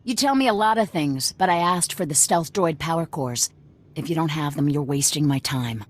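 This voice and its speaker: A very low-pitched female guerrilla commander for a video game. She is blunt and fanatical, giving orders in clipped phrases with an open threat underneath. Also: expressive, normal speed. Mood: impatient, threatening. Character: hard-bitten fanatical female rebel cell commander.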